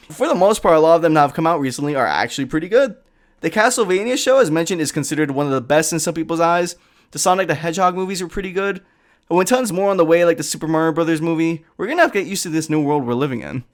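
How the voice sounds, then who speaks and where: A man delivering comedic scripted commentary with casual asides, in a sarcastic, exasperated and sometimes profane way. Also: a little high. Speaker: video essayist.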